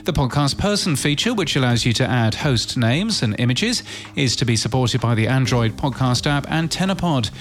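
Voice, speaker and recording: A man presents a scripted podcast news bulletin with music behind him. His delivery is crisp and orderly, moving from headline to headline with the odd dry aside. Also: mid-range voice, expressive, normal speed, a bit bright.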